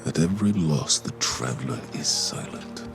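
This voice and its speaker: A man's voice: animated, music in the background, heavily acted. An actor playing a weary, solemn older male commander in grief, in a dramatic game cutscene monologue. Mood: despairing. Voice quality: gravelly, very deep. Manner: slow, solemn, halting.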